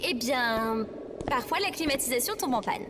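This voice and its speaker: A woman acting as a female AI companion in an audio comedy, high-voiced and swooping in melody. She is irritatingly polite, precise and bossy, with a chirpy sarcasm. Clear ambient noise runs behind her. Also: animated, moderate pace. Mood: precise, upbeat. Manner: formal, precise, playfully bossy. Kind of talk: acted audio comedy drama.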